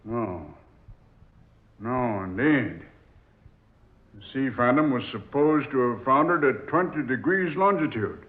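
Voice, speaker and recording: An actor playing a stern, commanding ship captain who gives gruff orders, in 1940s radio acting style. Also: male voice, mid-range voice, expressive, slow, long pauses. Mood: brooding. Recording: tinny old radio recording.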